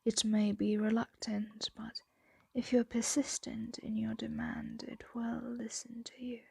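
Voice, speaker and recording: A woman voicing a possessive, doting female dragon in an ASMR roleplay, soothing and teasing in a breathy, intimate whisper. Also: on the high side, level tone, measured pace, a little mellow, no room sound, heavily acted.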